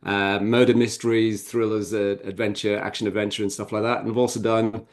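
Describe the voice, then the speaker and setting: Low-pitched man, frank and rambling. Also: little pitch movement, brisk. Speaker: podcast co-host and author. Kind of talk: casual interview talk.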